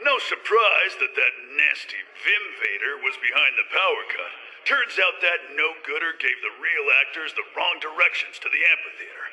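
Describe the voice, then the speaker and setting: A man playing an excitable, pompous theme park boss in a cheesy radio drama, with florid, theatrical delivery. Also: on the high side, normal speed, in a large hall, rough recording. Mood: relieved.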